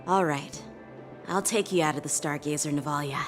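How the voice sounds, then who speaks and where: A woman's voice: low-pitched, normal speed, over music, natural voice. Woman as a spirited, boyish young knight. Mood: confident. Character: confident teenage boy knight, polite and spirited. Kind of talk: video game voice acting.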